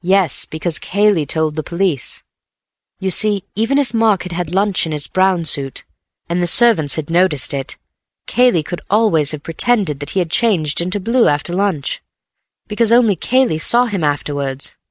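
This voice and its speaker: A woman reading a calm, thoughtful detective. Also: fairly low pitch, dry recording, studio quality, close to an everyday voice. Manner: calm, measured, reasoning. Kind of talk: audiobook character voice.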